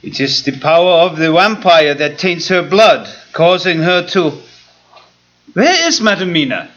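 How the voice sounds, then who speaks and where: An actor playing an urgent, commanding professor who hunts vampires in a radio play, forceful and formal. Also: male voice, fairly high pitch, sing-song, unhurried, lo-fi sound. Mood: grave. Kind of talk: stage radio play acting. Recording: live staged play, loud music and commotion.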